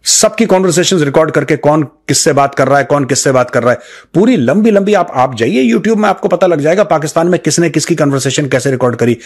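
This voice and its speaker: A man's voice: a little high, lively intonation, fast talker. A man with an Indian accent giving unscripted political commentary to viewers, emphatic, repeating phrases, asking rhetorical questions and switching into English phrases. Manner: mixes English phrases into talk.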